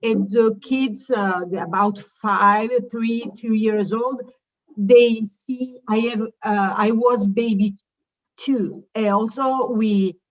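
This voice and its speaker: Halting middle-aged woman. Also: fairly high pitch, fairly even intonation, dull audio. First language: not English. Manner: halting, searching for words in non-native English. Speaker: childcare founder presenting her business. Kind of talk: informal business presentation.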